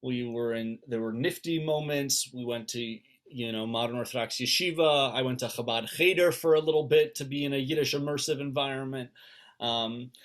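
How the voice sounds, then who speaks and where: A man's voice: mid-range voice, normal speed. An American man in his thirties speaking informally on a video call as a rabbi and author, often repeating and restarting his phrases. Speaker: rabbi and author giving a book talk. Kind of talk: informal personal talk to an online audience. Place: indoors at home on a video call.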